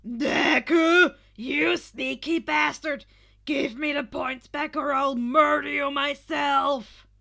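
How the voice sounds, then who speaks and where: A woman's voice: sits high, fairly even intonation, slow, creaky, full character voice. A woman voicing an explosive, foul-mouthed teenage boy who roars threats at his rival. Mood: enraged. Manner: roaring, threatening shouts.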